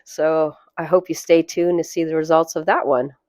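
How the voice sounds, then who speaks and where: A woman narrating a watercolor painting tutorial, hoarse and losing her voice, soft-spoken and chatty. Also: deep voice, steady tone, moderate pace, no room sound, studio quality. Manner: soft-spoken, chatty, with fillers and light laughs. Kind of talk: relaxed voice-over tutorial.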